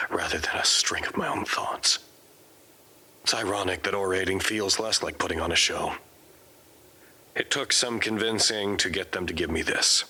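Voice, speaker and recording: Low-voiced, weary reflective survivor. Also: male voice, bright, forceful tone, slightly rough, full character voice, rough recording. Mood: wry, subdued. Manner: introspective, measured, literary narration. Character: weary, reflective male survivor recording his thoughts. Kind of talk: scripted audio drama monologue. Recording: music under the voice. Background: music.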